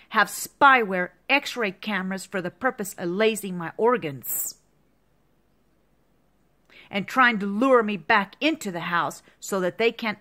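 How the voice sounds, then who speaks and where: A woman's voice: medium pitch, sharp tone. Agitated woman in her fifties ranting. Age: 50s. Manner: agitated, repetitive ranting with profanity. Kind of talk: angry monologue to camera.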